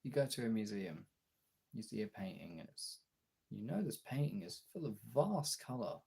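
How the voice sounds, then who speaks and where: Husky, creaky low-voiced man. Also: very animated, mellow. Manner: halting, candid, swearing. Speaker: live streamer and voice actor. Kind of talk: casual confessional livestream chat. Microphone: phone.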